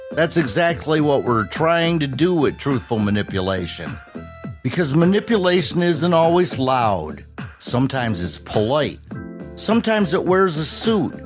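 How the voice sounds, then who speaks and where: Wry male podcaster, very animated delivery. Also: medium pitch. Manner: punchy, short rhetorical phrases with wry humour. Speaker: podcast co-host. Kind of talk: scripted conversational podcast commentary.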